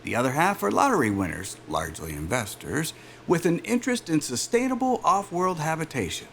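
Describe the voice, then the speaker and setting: An actor playing an affable, chatty male corporate interviewer in an audio drama, jovial and smooth, with hesitant asides. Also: a little high, sing-song, fairly quick, a bit bright, slight background noise, heavily acted. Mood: smooth pitch. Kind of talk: naturalistic audio drama acting.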